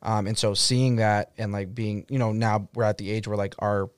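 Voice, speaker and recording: A man in his twenties chatting casually and rambling, filling his talk with "like" and laughing loudly. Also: on the low side, flat delivery, moderate pace, clean tone, no room sound, studio quality. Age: 20s. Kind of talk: casual chat.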